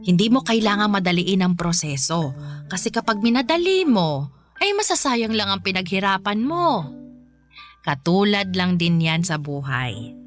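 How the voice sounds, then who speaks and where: An actress playing a patient, motherly older woman who gently teaches baking, in a radio drama with soft music behind. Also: medium pitch, sing-song. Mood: calm advising. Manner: gentle, advising, unhurried.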